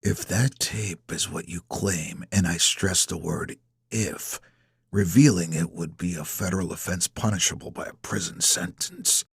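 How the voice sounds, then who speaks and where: A man voicing a menacing official in an audiobook, hushed and harsh, with measured, clipped, threatening speech. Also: low voice, slightly rough, no echo, studio quality, exaggerated voice. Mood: guarded, threatening. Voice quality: hushed, harsh, partly whispered. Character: menacing older male villain, smooth official hiding threats. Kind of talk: acted audiobook dialogue.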